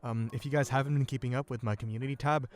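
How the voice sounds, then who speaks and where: A man's voice: medium pitch, fairly even intonation, fast talker, soft background music. A man who creates roleplay audio, making a casual announcement to his listeners.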